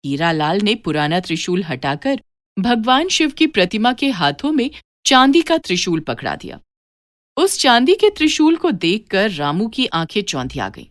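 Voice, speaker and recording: A woman's voice: medium pitch, dry recording, clean studio sound. A woman narrating a scripted moral story for children as a storyteller.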